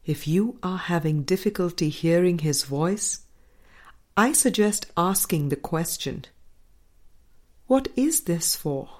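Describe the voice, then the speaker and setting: A woman reading a spiritual book aloud as a scripted narration, in measured, deliberate phrases with pauses. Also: fairly low pitch, expressive. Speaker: audiobook reader.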